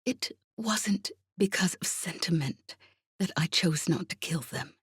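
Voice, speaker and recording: A woman voicing a calm, calculating veteran female spy in an audiobook, speaking softly and breathily with quiet assurance. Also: lowish voice, measured pace, breathy edge, no echo, clean studio sound, pitched above the performer's own voice. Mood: cool, assured. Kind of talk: audiobook character acting.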